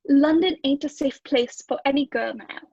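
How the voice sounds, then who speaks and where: A woman's voice: high-pitched, normal speed, vocal fry, darkish tone, dry recording, lo-fi sound. A woman playing an anxious London landlady and wife in a radio mystery, delivered with dramatic worry. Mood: fretful. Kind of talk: radio play acting.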